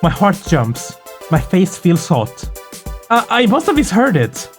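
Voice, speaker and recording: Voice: mid-range voice, very animated, a little slow, soft background music. A performer reading as an anxious, lovestruck narrator of a visual novel, breathless and intense, in short dramatic phrases. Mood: tender, nervous. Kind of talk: dramatic first-person story reading.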